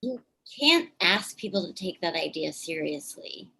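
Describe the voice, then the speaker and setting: A woman's voice: lowish voice, sing-song, measured pace, gravelly, bright, forceful tone, breathy edge, heavily acted, poor audio. A woman playing a bitter, exasperated, sweary activist in a live staged play reading. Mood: scornful. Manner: sweary, biting.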